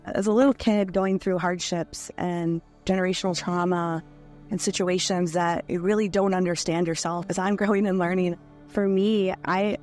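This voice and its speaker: A woman's voice: lowish voice, darkish tone. A woman speaking in an upbeat, heartfelt way in an interview testimonial. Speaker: employee, ally.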